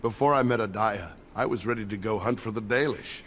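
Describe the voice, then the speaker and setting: An actor playing a gentle, wistful, patient elf father of middle age in a video game. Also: on the low side, fairly quick, a little hiss or hum, lightly acted. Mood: reasoning.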